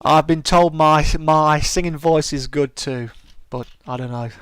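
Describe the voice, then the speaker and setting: A man's voice: a little high, unhurried, fairly bright tone, no echo. A middle-aged man with a soothing voice chats casually with his live-stream viewers, friendly and full of restarts. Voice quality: smooth, soothing voice. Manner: chatty and friendly, with many restarts and stammers. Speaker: streamer hosting a live chat. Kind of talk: casual live-stream chat, reading and answering comments.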